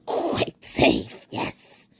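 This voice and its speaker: A woman reading a storybook and voicing a slimy, wretched male cave creature who hisses and whispers, lurching between squeaky highs and low growls. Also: extremely slow. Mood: sly. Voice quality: hissing, raspy, whispery.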